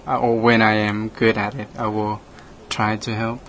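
A man from Laos, a native Lao speaker, hosting a radio talk and speaking haltingly with many restarts. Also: fairly low pitch, little pitch movement, a bit bright, slight background noise. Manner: halting, hesitant, frequent restarts, laughs often. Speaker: radio program host, monk. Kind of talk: casual conversation in English.

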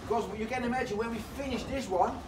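A man's voice: extremely high pitch, fast, over a noisy crowd. Man guiding a mill tour. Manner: lively storytelling, slightly broken English phrasing. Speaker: windmill guide. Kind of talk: guided tour talk. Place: large wooden sawmill interior. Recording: distant voice with noisy background.

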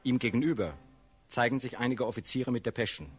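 A man narrates stage directions in a scripted, formal reading. His voice is of medium pitch, and instrumental music plays noticeably behind it. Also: animated, a little fast, husky.

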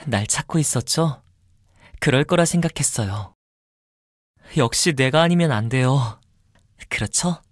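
Slow, soft-spoken, devoted young man. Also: mid-range voice, lively intonation, no echo, studio quality. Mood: tender, confident. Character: polite, fastidious young man, sweetly devoted and possessive. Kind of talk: mobile game character voice lines.

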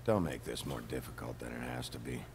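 Very deep, gravelly noir sheriff. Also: male voice, brisk, noisy background. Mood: stern. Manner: terse, deadpan. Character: gruff, weary, brooding wolf-man sheriff in his thirties. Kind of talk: noir video game acting.

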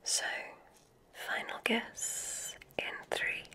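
A woman playing an encouraging witch examiner at a magic school in an ASMR roleplay, speaking in a soothing, breathy whisper with deliberate pauses. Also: low-quality recording. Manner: slow, soothing, gently enthusiastic, deliberate pauses.

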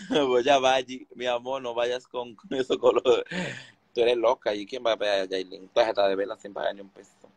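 A man's voice: mid-range voice, normal speed, dry recording. A man in his twenties with a Dominican accent, chatting casually on a livestream from a room at home, talking into a phone at arm's length. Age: 20s. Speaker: livestreamer making prank calls. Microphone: phone held at arm's length.

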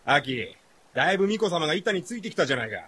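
An actor playing a breezy, cocky, free-spirited young man with a husky voice in a radio drama. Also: on the high side, very animated, rapid-fire, background noise. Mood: teasing. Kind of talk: Japanese radio drama acting.

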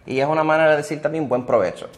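A man, a restaurant owner answering interview questions in a relaxed way, dropping in English words. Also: a little high, racing. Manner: relaxed, many "ah" fillers, mixes in English words. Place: indoors at a restaurant bar.

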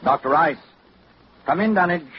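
Male actor as a studio announcer interrupting the program, crisp formal delivery, dull hissy old recording. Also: a little high, lively intonation, normal speed, close to an everyday voice. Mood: urgent. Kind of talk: 1940s radio acting. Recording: muffled old radio broadcast with hiss.

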